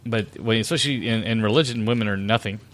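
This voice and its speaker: A man's voice: lowish voice, quick pace, fairly bright tone. Crude joking man co-host. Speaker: podcast co-host. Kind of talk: casual chat.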